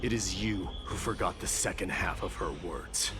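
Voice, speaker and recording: A man's voice: low voice, normal speed, close to an everyday voice. A man playing a proud, combative warrior in a video game, speaking in an imperious, declamatory way. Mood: indignant, scornful. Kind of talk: dramatic video game acting. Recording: game music behind the voice.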